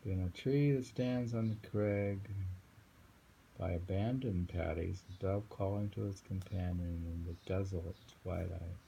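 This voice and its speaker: A middle-aged man with a low, creaky voice reads poetry and muses on it in casual asides. His delivery is very unhurried and halting, full of little hums and pauses. It is an amateur phone recording with faint background noise. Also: mellow. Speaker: poetry reader. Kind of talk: reading short poems aloud with casual commentary. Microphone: handheld phone.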